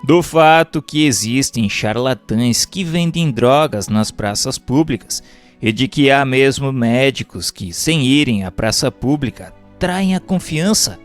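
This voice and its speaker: A man reading a book aloud in a formal, measured, rhetorical manner, like scripted audiobook narration. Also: mid-range voice, animated, faint music behind.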